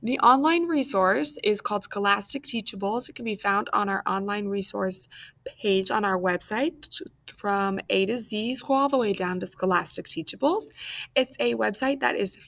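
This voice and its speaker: A woman's voice: mid-range voice, normal speed, vocal fry, fairly bright tone. An American woman speaking as a children's librarian at storytime, encouraging and addressing young listeners as friends.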